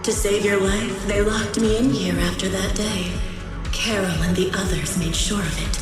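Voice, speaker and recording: An actress playing a menacing phantom woman in a video game, taunting and deliberate, with an echoing effect on her voice. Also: medium pitch, fairly even intonation. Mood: taunting, cold. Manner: taunting, deliberate, coolly accusing. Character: menacing, taunting female phantom from the heroine's past. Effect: echoing reverb. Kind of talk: dramatic video game villain acting. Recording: heavy echo, distant, music behind.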